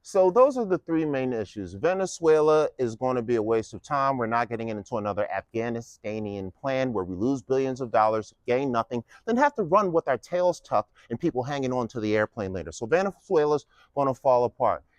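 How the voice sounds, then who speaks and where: A man's voice: medium pitch, expressive, a little fast, few pauses, no room sound. A man in his fifties delivering a casual, mocking political monologue with lots of rhetorical questions. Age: 50s. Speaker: vlogger giving a political speech.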